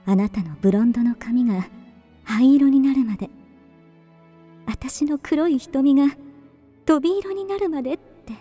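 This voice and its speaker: Woman acting a high-pitched, dreamy lonely lady. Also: normal speed, pauses often, warm tone, faint music behind, natural voice. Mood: coy, dismissive. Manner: polite, theatrical, wistful. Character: lonely, dreamy woman lost in romantic fantasy. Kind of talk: radio drama acting.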